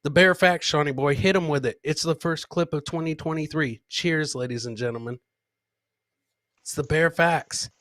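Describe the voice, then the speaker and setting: Guy doing a comedy podcast, kinda high bright voice, loud slangy riffing on clips, close dry mic. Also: moderate pace, no room sound. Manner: boisterous, slangy, repeats catchphrases. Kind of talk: casual live commentary on funny clips.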